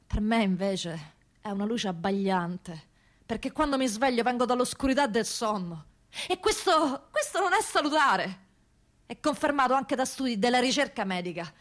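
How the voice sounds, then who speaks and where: A woman's voice: a little high, animated, normal speed, a bit bright. An actress playing a weary, indignant woman prisoner in an emphatic theatrical radio monologue. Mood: weary indignation.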